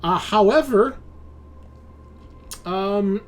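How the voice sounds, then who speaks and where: A man's voice: high-pitched, extremely slow, a little hiss or hum. A man running a tabletop game as game master, explaining rules and scenes informally and hesitantly, thinking aloud.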